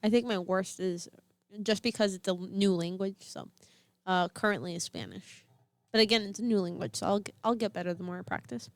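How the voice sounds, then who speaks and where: A teenage girl chats casually on a home podcast, stopping to think out loud with "um" and "like". Her voice sits at an ordinary pitch and sounds dry and close, with no room around it. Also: a little fast, no echo. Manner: chatty, thinks aloud with fillers. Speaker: podcast co-host. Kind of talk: casual chat.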